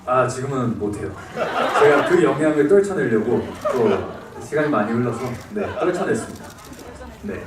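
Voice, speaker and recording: A man from Busan, a film actor fielding audience questions with easy laughs and halting pauses. He is heard from a distance across a large, echoey cinema hall. A steady noise sits under his voice. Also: medium pitch. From Busan, South Korea. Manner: relaxed, laughs often, hesitant pauses. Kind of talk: casual answers to audience questions.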